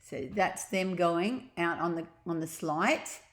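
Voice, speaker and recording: A woman's voice: on the low side, slow. A woman narrating a children's story aloud, sometimes chatting about the pictures. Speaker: storybook reader. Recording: echoey, roomy home recording.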